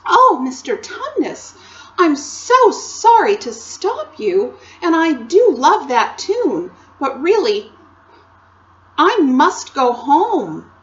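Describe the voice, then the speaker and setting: A woman reader puts on a kindhearted, anxious little girl from a children's fantasy. The voice is very high and animated, pleading with emphasis on each phrase. The recording sounds slightly dull. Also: slow, exaggerated voice. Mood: apologetic, polite.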